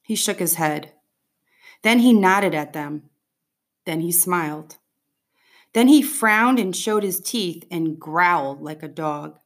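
A woman's voice: fairly low pitch, expressive, takes it slowly, pauses often. A woman narrating a children's novel as an audiobook reader.

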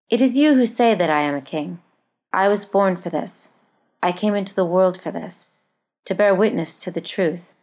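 A woman reading a Bible narrative aloud in a measured, formal and solemn style. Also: lowish voice. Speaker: scripture reader.

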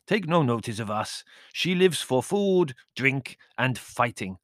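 A man's voice: medium pitch, lively intonation, a little slow, fairly bright tone, no room sound, studio quality, voice pushed up. A man voicing a proud, hot-tempered Greek manservant in an audiobook, with a formal and indignant manner. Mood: solemn formality.

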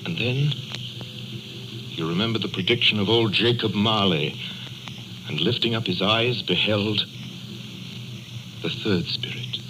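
A man narrating a ghost tale in a solemn, measured, dramatic old radio style. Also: on the low side, background noise, close to an everyday voice. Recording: old radio broadcast with music.

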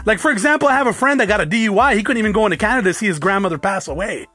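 A man's voice: high voice, very fast, sharp tone, no echo, soft background music. A man from the United States talking casually to his viewers in a rambling, profane rant that restarts and repeats itself. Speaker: vlogger.

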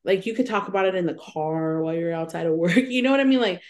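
A young woman co-hosts a casual podcast chat in a slightly low voice. She is lively and laughs easily, and she slips into little acted-out lines when she retells what people said. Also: fast talker. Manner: animated, laughs often, acts out quoted voices. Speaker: podcast co-host.

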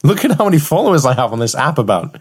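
Podcast host, wry and mock-earnest, lively melodic delivery, husky edge, loose conversational style. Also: medium pitch, very fast. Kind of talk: casual chat, reading poems aloud.

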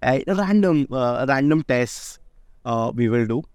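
A man's voice: mid-range voice, lively intonation, measured pace, darkish tone, clean tone, dry recording, studio quality. A man, a startup founder, answering interview questions in a casual, emphatic way and often asking "right?". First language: not Indonesian. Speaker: startup founder and CEO, guest.

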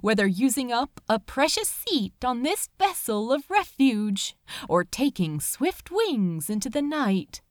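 A woman narrates a dramatic story with solemn feeling. Her voice is fairly high and very expressive in pitch, and she takes her time over each phrase. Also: no room sound, clean studio sound. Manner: solemn, emotive. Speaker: audiobook narrator. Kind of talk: dramatic scripted narration of fan fiction.

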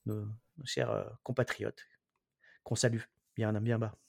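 A man's voice: on the low side, little pitch movement, measured pace, gravelly, a little mellow, breathy edge, no echo, studio quality. A man speaking as a show panelist in casual, joking conversation.

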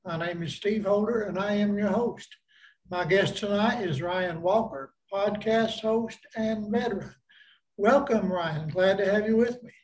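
A man hosting a casual interview podcast, with a voice that sits notably high for a man. His phrasing is plain and folksy, and he speaks in a relaxed, conversational way. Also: a little slow, rough recording. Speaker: podcast host.

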